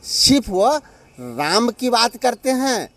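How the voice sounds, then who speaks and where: A man's voice: very high voice, sing-song, slow pace, a bit bright, dry recording. A man giving a critical press sound bite as an opposition politician.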